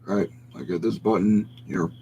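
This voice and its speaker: A man's voice: on the low side, steady tone, moderate pace, dull audio, heavy background noise. Rambling man ranting to camera. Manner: opinionated, rambling, self-correcting. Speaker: vlogger. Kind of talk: casual rambling rant to camera.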